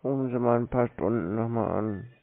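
A man talks casually on the phone in a drowsy, laconic, deadpan way. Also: mid-range voice, fairly quick. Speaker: scambaiter taking cold calls. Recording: muffled narrowband phone line.

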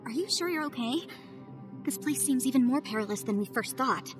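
A woman voicing a young, analytical adviser in a game, who explains things in a measured, methodical way. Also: sits high, expressive, over music. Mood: thoughtful. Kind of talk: game cutscene voice acting.

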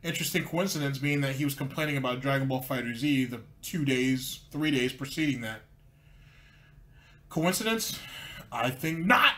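A man's voice: medium pitch, normal speed, pushed and bright. A man hosting commentary, answering clips with dry, sarcastic asides. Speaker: commentary host.

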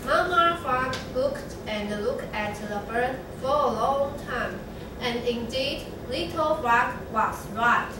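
A young woman narrating a children's story in a slow, measured readers' theatre reading. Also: high-pitched, expressive, noisy background. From Taiwan. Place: large classroom. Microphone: far camera. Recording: very echoey classroom from far off.

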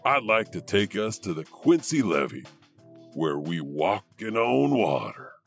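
A man's voice: lowish voice, big pitch swings, vocal fry, loud music behind the voice, full character voice. A man playing an eccentric, awkward janitor who hosts a horror audio anthology with rambling asides. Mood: chatty. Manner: halting, rambling, chuckling asides. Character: eccentric, awkward, creepy male janitor storyteller. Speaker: host. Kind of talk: comic horror anthology hosting.

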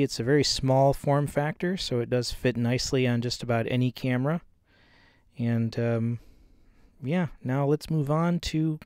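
A man in his forties talks through a gear review in a loose, rambling way, cracking self-deprecating asides. His voice sits at an ordinary middle pitch. It is picked up by a camera-mounted shotgun mic from across an indoor room, with a faint fridge hum. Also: no echo, clean studio sound. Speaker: host reviewing a microphone. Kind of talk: casual product review vlog. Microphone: camera-mounted shotgun mic about eight feet away.

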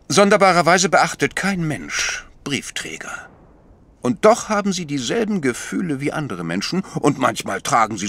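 An actor playing an astute, patient priest detective in a German audio drama, explaining deliberately with gentle chiding. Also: male voice, mid-range voice, full character voice. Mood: calm, explaining.

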